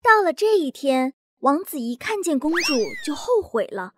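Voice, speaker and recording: A woman telling a children's bedtime story in a high, animated voice that rises and falls a lot. Faint noise sits under the recording. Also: moderate pace. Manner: lively, expressive. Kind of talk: scripted bedtime story narration.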